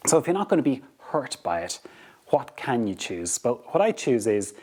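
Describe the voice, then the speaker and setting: An Irish man speaks in a steady middle register, delivering a motivational talk on leadership. He is earnest and repeats words as he thinks aloud, often pausing to check in with the listener. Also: mid-range voice, breathy edge. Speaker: podcast host.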